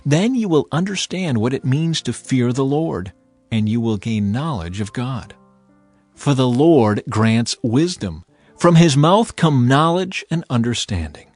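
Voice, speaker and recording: A man giving a dramatized scripture reading in a solemn, measured, deliberate manner. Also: mid-range voice, expressive, darkish tone. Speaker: Bible narrator. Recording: music behind the voice. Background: music.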